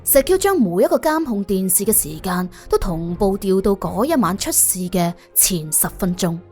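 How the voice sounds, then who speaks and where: 一個女人用粵語演繹有聲偵探小說，照稿講故事，做旁白。. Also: female voice, mid-range voice, expressive, moderate pace, a little mellow, husky, soft background music. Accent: Cantonese. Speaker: audiobook narrator. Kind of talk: expressive scripted detective-story narration.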